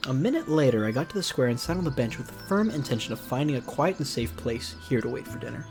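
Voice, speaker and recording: A man's voice: medium pitch, animated, fast. A man streaming a visual novel, reading it aloud expressively with casual asides. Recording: music behind.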